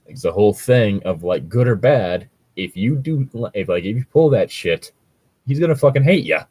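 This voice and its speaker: A man giving casual, conversational commentary in a halting way, with lots of filler words and some swearing. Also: fairly low pitch, animated, dark tone, husky. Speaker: podcast host reacting to read-aloud Reddit posts.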